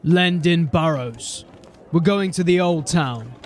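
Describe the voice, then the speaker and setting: Male actor as a blunt, jaded city-watch sergeant, plainspoken, slightly high voice, audio drama. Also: slow. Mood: steady, grim. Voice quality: plain-spoken, grim and steady.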